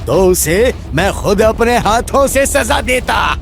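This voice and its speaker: A man's voice: sits high, animated, vocal fry, over music, exaggerated voice. A man playing a sneering, sadistic royal villain in a fantasy audio drama, dragging out his threats. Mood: sadistic gloating. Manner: sneering, drawn-out menace.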